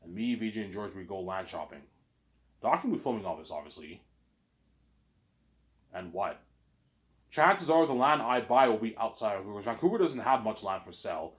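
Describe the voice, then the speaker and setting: Rambling Canadian man, lively voice. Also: lowish voice, moderate pace, pauses often. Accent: slight Canadian. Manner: repeats "obviously" and restarts often. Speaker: vlogger. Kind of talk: rambling casual monologue.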